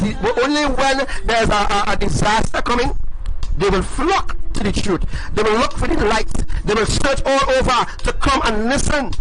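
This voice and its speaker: A man with a very high voice preaches on a livestream with fervor. He drives each point home by repeating it and checks in with his listeners again and again. Music plays clearly behind him. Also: poor audio. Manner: emphatic and repetitive, asks the audience "right?".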